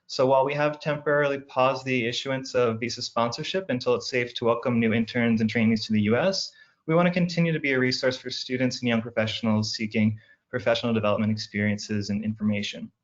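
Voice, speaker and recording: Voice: medium pitch, fairly even intonation, quick pace, dull audio. A man hosting an online career webinar, reading prepared remarks and introductions with a few repeated words. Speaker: webinar host.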